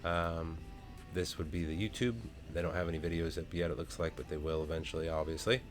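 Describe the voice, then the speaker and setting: A man's voice: very deep voice, little pitch movement, brisk, soft background music. A man, casually talking through a crypto project on a screen share with many ums and uhs. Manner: rambling, many fillers. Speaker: crypto vlogger.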